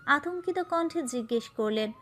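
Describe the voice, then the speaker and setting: A woman's voice: sits high, lively intonation, moderate pace, a bit bright, a little hiss or hum. A woman narrating a romantic story from a script.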